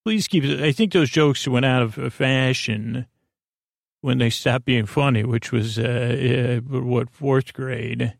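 Creaky-voiced man rambling, very dry close sound. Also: medium pitch, slow, dry recording. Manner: meandering, hesitant, keeps losing his thread and doubling back. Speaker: podcast host. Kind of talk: rambling bedtime monologue.

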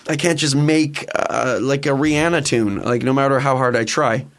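A man's voice: medium pitch, fairly even intonation, moderate pace. Rambling, joking male musician. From Canada. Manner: rambling, joking, lots of fillers and restarts, laughs often. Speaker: musician guest. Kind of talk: casual conversational interview.

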